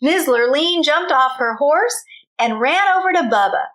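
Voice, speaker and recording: A woman reading a children's storybook aloud in an expressive manner, as a teacher does for young children. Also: high voice, a little slow.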